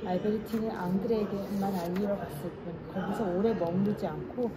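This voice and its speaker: A woman reads a literary novel aloud at a mid pitch, in a steady and even way. Loud crowd chatter runs underneath her voice the whole time. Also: fairly quick. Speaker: audiobook narrator. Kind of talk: literary narration.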